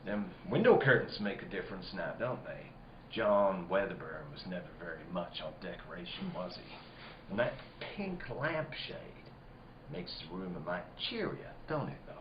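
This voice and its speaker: An actor playing a folksy, slyly probing farmer in a spooky radio drama. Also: male voice, low voice, sing-song, normal speed. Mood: sly, insinuating. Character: evasive, insinuating rustic farmer neighbour. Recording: muffled, echoey room with background noise.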